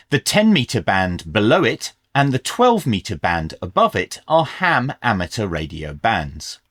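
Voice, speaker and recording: A man reads a scripted review as a presenter, with a mid-range voice that rises and falls in a lively way. His delivery is measured and clearly explained. The sound is close and dry. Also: medium pitch, dry recording. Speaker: presenter of a radio review video channel.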